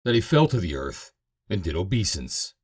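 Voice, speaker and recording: A man's voice: sits low, big pitch swings, normal speed, a little mellow. A man giving a solemn, theatrical, emphatic reading of scripture as a narrator. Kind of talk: dramatic scripted reading of King James Bible.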